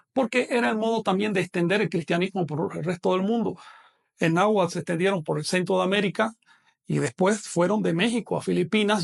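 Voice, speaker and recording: A man with a non-Mexican Spanish accent gives an online language lesson. His voice sits high and carries a gravelly rasp. He explains step by step and often checks in with his listeners. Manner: explanatory, addresses students directly with check-in questions. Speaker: language teacher. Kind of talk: online lecture.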